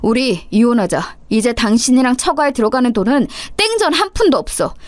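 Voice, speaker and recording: A woman's voice: a little high, lively intonation, fairly quick, dry recording, natural voice. Woman doing a furious eldest son. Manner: harsh, furious scolding. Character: angry, outraged middle-aged eldest son.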